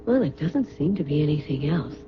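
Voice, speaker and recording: An actress playing a bold, scheming middle-aged fashion designer in a radio drama, imperious and excitable, with theatrical breathy exclamations. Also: female voice, low voice, fairly quick, noisy background. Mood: eager, insistent. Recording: dull old radio recording, music behind.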